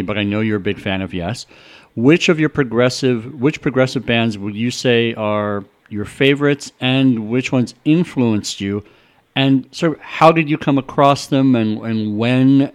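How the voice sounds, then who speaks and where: A male radio host interviewing a guest, asking long, rambling questions full of restarts. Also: medium pitch.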